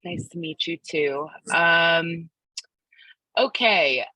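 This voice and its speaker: A woman's voice: fairly low pitch, very slow, sharp tone, no echo, poor audio. A woman from Philadelphia presenting a live online workshop. She speaks in an upbeat, encouraging way, asks the audience to join in, and uses many fillers. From Philadelphia, United States. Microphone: video call microphone.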